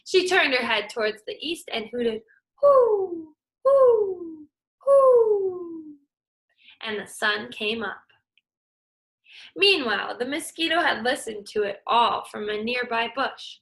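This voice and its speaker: A woman's voice: fairly high pitch, lively intonation, a little slow, creaky, pushed and bright. A young adult woman teacher who reads a picture book aloud and explains a task to young students in an encouraging way. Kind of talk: children's read-aloud and lesson.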